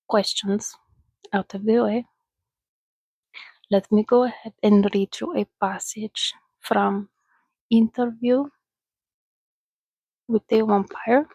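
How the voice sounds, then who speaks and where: A woman voicing a soothing, centuries-old, well-mannered vampire in a soft-spoken ASMR roleplay, chatting gently and often dropping to a whisper. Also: mid-range voice, breathy edge, dry recording, low-quality recording. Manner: unhurried, gentle, chatty, reassuring.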